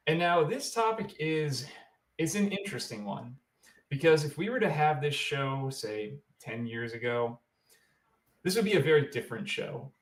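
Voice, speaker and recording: A man hosting a casual podcast, chatting in an informal way. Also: medium pitch. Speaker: podcast host. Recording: roomy, echoing room sound.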